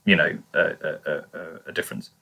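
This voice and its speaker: A man co-hosting a podcast in casual review talk, tentative and measured, carefully qualifying each point he makes. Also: a bass voice, fairly even intonation, gravelly, sharp tone. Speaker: podcast co-host.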